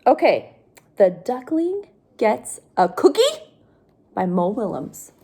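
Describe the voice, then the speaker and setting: A woman teacher reading a picture book aloud, pausing for simple explanations and questions to young listeners. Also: mid-range voice, sing-song, mellow, breathy edge.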